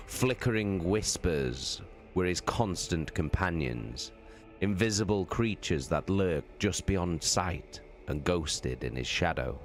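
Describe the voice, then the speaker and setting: A man's voice: low-pitched, slight background noise. A man, a streamer who moves between casual chat and dramatic book narration. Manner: sweary, informal. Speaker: streamer hosting a live book reading.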